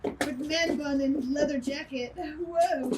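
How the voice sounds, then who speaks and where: A woman's voice: sits high, steady tone, slow, heavy background noise. A woman bantering casually and jokingly as she directs an amateur web series, often giggly. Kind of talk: casual behind-the-scenes banter. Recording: echoey, distant microphone.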